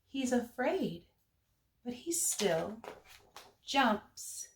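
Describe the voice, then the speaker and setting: A woman reading a children's storybook aloud with expressive, emphatic phrasing for young listeners. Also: fairly high pitch, very slow, echoey room, slight background noise.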